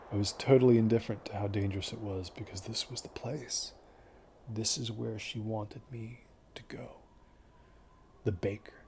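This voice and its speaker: An actor playing a dazed, delusional injured man recounting an ordeal in an audio horror drama, halting and detached, with hushed phrases. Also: on the low side, a little hiss or hum. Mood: fixated, hushed. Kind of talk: first-person audio horror drama monologue.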